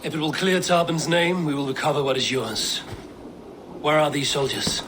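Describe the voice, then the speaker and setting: An actor playing a steadfast Viking clan warrior, measured, blunt and commanding, in a video game cutscene. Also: male voice, on the high side, background noise, lightly acted. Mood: resolute.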